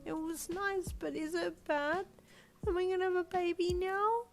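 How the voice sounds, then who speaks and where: A woman's voice: sits high, lively intonation, moderate pace, soft background music, voice pushed up. A woman voicing a sweet, earnest little girl in a story, childlike and easily flustered. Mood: tearful, worried.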